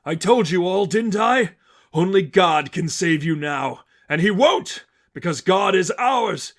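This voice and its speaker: A man's voice: sits high, lively intonation, slow, voice pushed up, heavily acted. A man voicing a grandiose male terrorist zealot, ranting like a preacher in a story reading. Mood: gloating, fanatical. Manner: loud, grandiose preaching rant.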